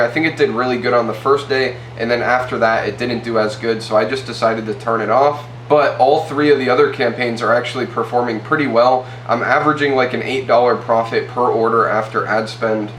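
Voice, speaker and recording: A man's voice: medium pitch, a bit bright. A young man in his twenties with a stuffy nose gives a casual, loose update to the camera from a small room. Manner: fast, loose, filler-heavy talk. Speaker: e-commerce YouTuber. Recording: roomy with faint hum.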